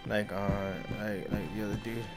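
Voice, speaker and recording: A man's voice: lowish voice, normal speed. Young male streamer, casual and profane game commentary, close home mic, prominent music behind. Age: young adult. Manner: profane, excitable. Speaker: gaming streamer. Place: small room at home. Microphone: close desk microphone with headset. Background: loud game music and sounds.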